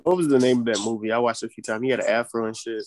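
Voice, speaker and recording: Male, mid-pitched voice, easygoing, repeats words as he talks. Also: fairly even intonation, rapid-fire, a loud crowd. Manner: easygoing, stumbling over words. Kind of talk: casual chat.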